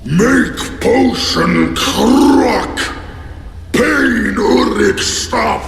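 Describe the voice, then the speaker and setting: A man voicing a savage game monster in a gravelly croak, with halting broken grammar and barking outbursts. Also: very high voice, animated, heavily acted. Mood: greedy, pleading. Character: savage skull-collecting monster speaking broken language. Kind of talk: video game character acting. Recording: echoey, noisy game audio.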